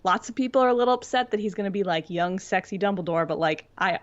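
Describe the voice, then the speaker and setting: A woman's voice: medium pitch, quick pace, muffled recording. A woman co-hosting a podcast in casual chat, gushing and informal, peppering her talk with "like". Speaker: podcast co-host.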